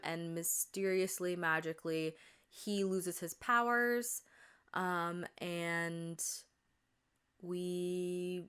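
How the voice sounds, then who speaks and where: A young woman chats through her podcast in a rambling, friendly way, full of fillers and restarts. Her voice sits a little low and comes across smooth and clear, though a touch congested and tired. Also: fairly bright tone. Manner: chatty, rambling, many fillers and self-corrections. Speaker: podcast host. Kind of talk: casual chat.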